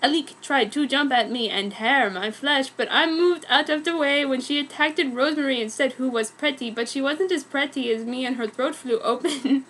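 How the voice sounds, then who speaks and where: A woman with a notably high voice narrates amateur fan fiction as a dramatic reading. Her delivery is theatrical, and she sounds easily amused by the material. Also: moderate pace, few pauses, a bit bright, poor audio. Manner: theatrical, often breaking into giggles.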